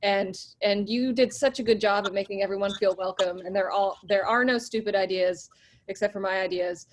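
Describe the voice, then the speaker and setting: A woman's voice: mid-range voice, steady tone, a little fast. A woman, an actor from the table read, chatting and praising the writer with self-deprecating jokes over a video call. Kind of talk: casual video call discussion.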